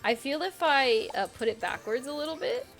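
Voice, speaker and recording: A woman's voice: sits high, expressive, normal speed, vocal fry. A woman live streaming a game, thinking aloud in a casual, rambling, indecisive way and repeating herself. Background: quiet music.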